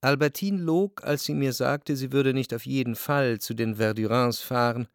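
A man playing a reflective, jealous lover who narrates his memories in measured literary prose for a radio drama. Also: mid-range voice, no echo, clean studio sound, close to an everyday voice. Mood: brooding reflection.

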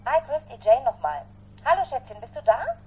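An effusive, chatty friend leaving a message in a radio play. Her voice is highish and animated but mellow, over a faint hum. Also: fairly quick. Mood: bubbly, pleading. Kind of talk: radio drama acting.

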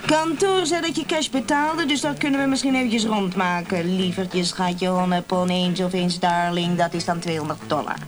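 A woman's voice: fairly low pitch, fairly even intonation, normal speed, hardly any pauses, close to an everyday voice. An actress playing a chatty, businesslike motel call girl in a radio play, coy and teasing with endearments. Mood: coaxing, businesslike. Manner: coy, teasing, peppered with endearments and foreign phrases. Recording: music behind.

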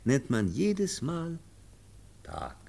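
A man's voice: fairly high pitch, huge pitch swings, extremely slow, vocal fry, dark tone, exaggerated voice. A man in a radio drama playing a kindly professor and guardian who speaks patiently and explains things simply. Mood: patient, tender. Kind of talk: German radio drama acting.

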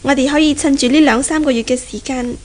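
A woman's voice: high-pitched, steady tone, fast, close to an everyday voice. An actress playing a polite, earnest young woman engaged to be married, in a 1970s Cantonese radio drama with a Hong Kong accent. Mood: hopeful. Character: polite, earnest young bride-to-be, university graduate daughter. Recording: 1970s radio recording, faint noise.

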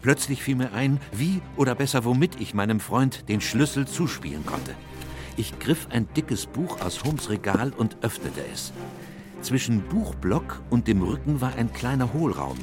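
An actor playing a loyal, earnest gentleman doctor, with literary, expressive delivery in a radio mystery drama. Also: mid-range voice, moderate pace, over music, natural voice. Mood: intent. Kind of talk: radio drama narration and dialogue.

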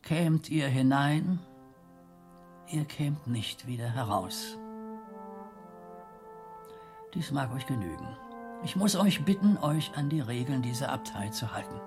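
An actor playing a stern, pious old medieval abbot in a German radio drama, speaking in a formal, rhetorical and measured way. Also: male voice, medium pitch, lively intonation, over music. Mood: forbidding, grave.

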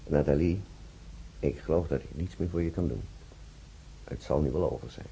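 An actor playing a kindly young hospital doctor in a radio play, giving advice in a paternal way. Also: male voice, rumbling low, moderate pace, creaky, warm tone, a little hiss or hum, low-quality recording. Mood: paternal, reassuring. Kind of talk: radio drama acting.

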